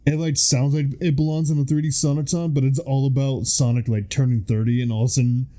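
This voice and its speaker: A man's voice: mid-range voice, flat delivery, quick pace, mellow. A man in his thirties, a podcast co-host, chatting casually and rambling with lots of fillers. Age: 30s. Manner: rambling, filler-heavy storytelling. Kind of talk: casual chat.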